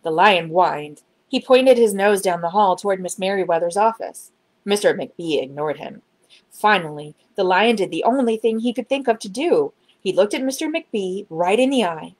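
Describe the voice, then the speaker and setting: A woman reading a children's storybook aloud in an expressive, dramatic storytelling manner. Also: medium pitch, normal speed. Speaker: children's book reader. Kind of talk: storybook narration, read aloud.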